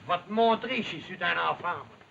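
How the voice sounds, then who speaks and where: A man's voice: high voice, moderate pace, vocal fry. A male actor playing a proud, quarrelsome lumberjack in a 1950s television drama, with a Quebec French accent and blunt, accusing rural speech. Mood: accusing, angry. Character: Big William, proud, resentful, quarrelsome lumberjack. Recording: muffled, noisy old television soundtrack.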